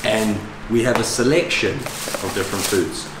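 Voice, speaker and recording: Young male New fitness vlogger, low-pitched and slangy, casual to camera in a noisy, reverberant room. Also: normal speed. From New Zealand. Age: young adult. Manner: blokey, slangy, sweary. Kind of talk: casual vlog chat to camera. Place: indoors in kitchen, living room and gym. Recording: echoey room with background noise.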